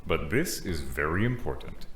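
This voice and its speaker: A man playing a calm, persuasive telepath in a sci-fi audio drama, giving deliberate, soothing suggestions. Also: extremely low pitch, a little hiss or hum, full character voice. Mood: soothing persuasion. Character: calm, persuasive male telepathic mind manipulator. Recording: roomy echo, faint music behind.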